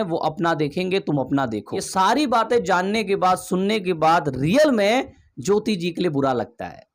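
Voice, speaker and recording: A man giving emphatic gossip commentary, asking rhetorical questions and addressing viewers directly. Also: on the high side. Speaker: video commentator.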